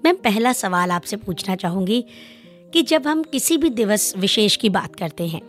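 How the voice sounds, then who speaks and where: A young woman hosts a formal radio interview in a polite, deferential way. She speaks into a close studio mic at a medium pitch, with music underneath. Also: big pitch swings, normal speed. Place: small acoustically treated radio studio. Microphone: close studio microphone on a desk stand.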